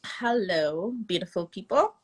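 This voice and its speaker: A woman esthetician and mother of two, a guest in a conversational interview over a video call, telling her story in a relaxed way. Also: mid-range voice, a little slow, slightly rough.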